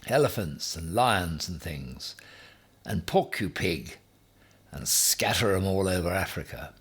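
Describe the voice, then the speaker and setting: A man's voice: sits low, animated, measured pace, a bit bright, breathy edge, exaggerated voice. A man voicing a bossy, boastful would-be emperor in a children's story, with a pompous, emphatic, commanding manner. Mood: brisk, reassuring. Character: Ham, bossy, boastful man, self-styled future emperor.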